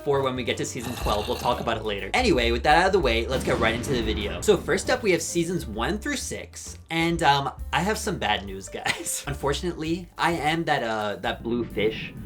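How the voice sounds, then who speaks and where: A man's voice: mid-range voice, big pitch swings, a little fast, few pauses, over music. A young man in his twenties with an Irish accent, chatting in a rambling, jokey, self-mocking way as he comments on a show for his video channel. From Ireland. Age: 20s. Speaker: YouTube commentator. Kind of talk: casual vlog commentary.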